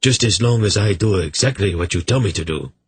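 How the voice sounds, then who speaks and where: A man's voice: low voice, expressive, a little fast, husky, pitched above the performer's own voice, exaggerated voice. A man voicing an irritable king in his late thirties in a storybook, curt and dry. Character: irritable thirty-nine-year-old king, dry and commanding.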